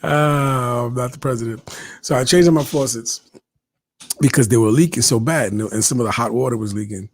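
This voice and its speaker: A man in his fifties hosts a relaxed live chat and greets viewers by name between stories. He talks loosely and keeps breaking off to answer comments. His voice sits in a middle pitch and sounds close and dry. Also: fairly quick, dry recording. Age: 50s. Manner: chatty, reads out viewer comments, often interrupts himself. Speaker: host. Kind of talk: casual livestream chat.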